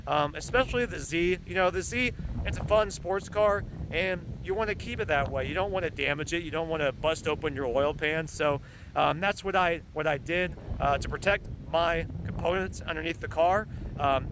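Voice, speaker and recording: Casual vlogger, male, somewhat high-pitched, loose and filler-heavy, recorded outdoors with heavy wind rumble on the mic. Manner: rambling, halting with frequent ums and uhs. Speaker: car parts reviewer. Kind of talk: casual product review vlog. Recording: loud wind noise on the mic. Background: gusting wind.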